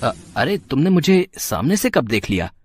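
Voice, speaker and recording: A man acts out a married man who is curious and easily flustered, in story dialogue. His delivery is hesitant and animated, moving widely in pitch. There is faint noise in the recording. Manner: halting, bashful, with stammers. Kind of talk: dramatized audio story dialogue.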